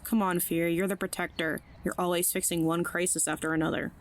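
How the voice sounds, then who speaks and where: Woman as blunt, low-voiced loyal friend. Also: flat delivery, a little fast, natural voice. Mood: worried, urging. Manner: casual, blunt. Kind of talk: audio drama acting.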